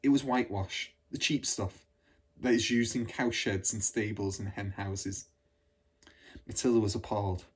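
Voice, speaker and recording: Husky man reading a story. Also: on the low side, fairly even intonation, moderate pace. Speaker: storybook reader. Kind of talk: scripted children's story narration.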